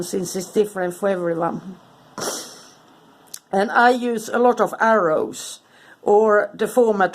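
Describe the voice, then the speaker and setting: A woman speaks casually and hesitantly, with frequent ums and restarted sentences. She has a cold and sounds stuffy and sniffly. Her pitch sits in an ordinary middle range. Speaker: spiritual content creator. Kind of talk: casual informal talk to camera.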